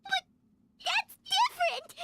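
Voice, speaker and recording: A woman voicing a tiny, excitable, childlike fairy companion with a squeaky voice in an anime game. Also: slow, very noisy, exaggerated voice. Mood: upset, pleading. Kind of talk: anime fantasy game voice acting. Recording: game audio with music behind.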